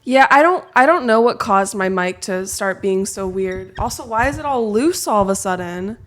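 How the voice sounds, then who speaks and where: A woman's voice: medium pitch, expressive, moderate pace. A young woman streamer chatting casually, self-deprecating and fussing with her microphone setup. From lives in Texas. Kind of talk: casual live-stream chat.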